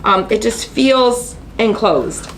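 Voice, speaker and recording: A woman's voice: a little high, slow, a crowd in the background, rough recording. A woman hosting a casual craft stream, sounding stuffy and nasal from allergies, chatty with lots of fillers. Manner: chatty storyteller, lots of fillers and excited asides. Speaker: host of a craft channel. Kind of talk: casual chat on a live stream.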